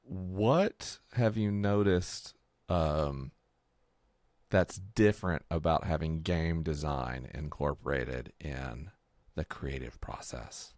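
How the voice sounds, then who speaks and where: A man co-hosting a podcast, speaking in a measured, conversational way. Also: extremely low pitch, a little mellow, no echo, clean studio sound. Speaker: podcast co-host. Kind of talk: conversational discussion.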